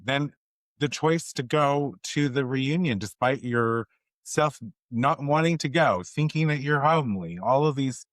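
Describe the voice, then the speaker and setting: Man, podcast host, earnest, encouraging, conversational, mid-pitched, dry sound. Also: a little slow, no room sound. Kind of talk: conversational interview.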